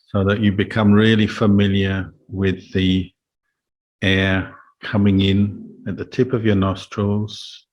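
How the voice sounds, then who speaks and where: A man speaking as a meditation teacher, giving calm, measured guidance in a Buddhist talk. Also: on the low side, highs cut off. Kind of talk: guided meditation and Dhamma talk.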